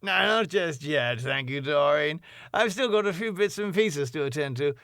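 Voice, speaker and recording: A man voicing a smooth, middle-aged male club boss who chuckles at his own jokes. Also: a little high, expressive, moderate pace, a bit bright, dry recording, clean studio sound, voice pushed up. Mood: smarmy. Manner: oily, laughs at own jokes.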